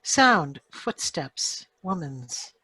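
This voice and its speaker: A woman's voice: on the low side, big pitch swings, drawn-out words, pushed and bright, breathy edge, no room sound. A woman announcing sound-effect cues for a radio script in clipped phrases, recorded on a noisy video call.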